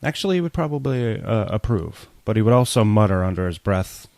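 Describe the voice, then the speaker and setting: A man hosts and introduces readers. His voice is fairly low, and the sound is dry with little room to it. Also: animated, a little fast, vocal fry, darkish tone, no room sound. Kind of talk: spoken introduction to a reading.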